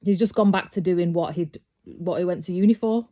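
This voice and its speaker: Low, mellow British woman vlogging. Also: fairly quick. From Britain. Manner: rambling, frequent restarts, grows emphatic and insistent. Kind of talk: casual opinion monologue.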